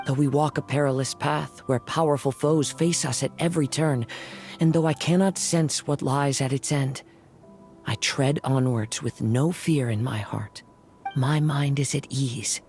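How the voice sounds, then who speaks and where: A man voicing a noble, earnest young samurai in a video game, calm and poetic in his delivery. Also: medium pitch, lightly acted.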